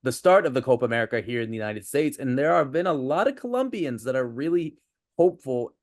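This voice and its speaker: A man hosting a football podcast, introducing and questioning his guest in an upbeat, energetic way. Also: medium pitch, fast talker, no room sound, clean studio sound. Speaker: podcast host.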